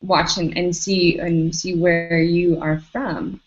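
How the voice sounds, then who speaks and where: A young American woman hosting a video call interview from a bedroom, asking questions quickly and repeating herself as she goes. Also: lowish voice, level tone, very noisy. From United States. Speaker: host. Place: bedroom indoors. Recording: dull lo-fi video call audio.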